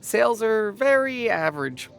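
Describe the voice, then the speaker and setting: Awkward, animated, deferential vendor. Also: female voice, fairly high pitch, moderate pace, creaky, smooth. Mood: awkward, apologetic. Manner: hesitant, polite, placating. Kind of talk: video game voice acting.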